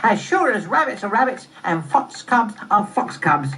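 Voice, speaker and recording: A woman's voice: medium pitch, big pitch swings, moderate pace, fairly bright tone, pitched above the performer's own voice. A woman voicing chirpy little fox cubs in a children's story, in an emphatic singsong manner. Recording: roomy, distant, faintly noisy recording.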